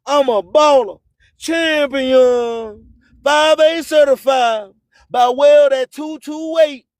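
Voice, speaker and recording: A man's voice: very high voice, slow, no echo. A man hosting radio with an emphatic, preacher-like motivational monologue full of repeated phrases. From US Gulf Coast. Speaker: radio host.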